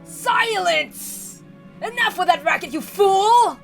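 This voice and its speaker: A woman's voice: very high-pitched, animated, slow pace, gravelly, fairly bright tone, soft background music, voice pushed up, heavily acted. A woman voicing an aged, raging patriarch who bellows bombastically and draws out words in a theatrical reading. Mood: enraged.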